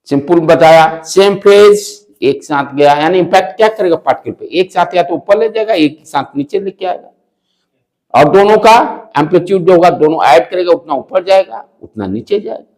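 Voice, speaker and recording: A man with an Indian accent and a loud voice lecturing as a physics teacher, posing questions, repeating key phrases and mixing in English. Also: on the high side, low-quality recording.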